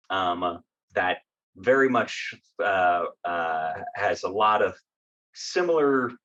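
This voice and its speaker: A man as a podcast guest, casually giving recommendations in a halting way, thinking aloud, on slightly lo-fi audio. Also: on the low side, fairly bright tone.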